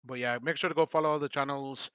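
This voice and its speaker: A young man rambles through a casual podcast chat, laughing often and repeating his plugs. His voice is bright and forceful, and it sounds strained as he loses it. The sound is close and dry. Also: mid-range voice, steady tone, fast talker, clean tone, no echo, dull audio. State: losing his voice. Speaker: podcast host.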